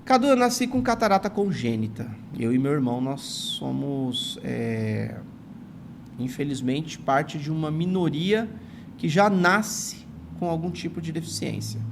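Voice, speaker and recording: Earnest forties man with a São Paulo accent, gravelly and grateful, interview guest. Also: fairly high pitch, lively intonation, a little slow, background noise. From São Paulo, Brazil. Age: 40s. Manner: earnest, grateful, many "não é" tags. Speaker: guest, retired teacher and writer. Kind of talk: reflective storytelling in an interview.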